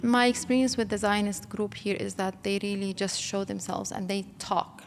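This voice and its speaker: A woman's voice: medium pitch, steady tone, moderate pace, a little hiss or hum. A middle-aged woman who grew up in Kuwait, speaking with passion as an interview guest and building to emphatic outbursts. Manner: impassioned, rising to emphatic outbursts. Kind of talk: emotional interview. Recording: long reverb hall.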